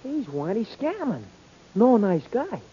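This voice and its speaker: A man playing a dim, loyal, excitable small-time crook, stammering and ungrammatical, as comic sidekick in an old-time radio drama. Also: high-pitched, unhurried, breathy edge, heavily acted. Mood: anxious. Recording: hissy, dull old-time radio recording.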